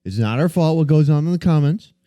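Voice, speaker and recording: A man's voice: fairly high pitch, expressive, fast talker, dark tone, lo-fi sound. A man co-hosting a casual movie podcast, laid-back and opinionated in his reviews. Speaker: podcast co-host.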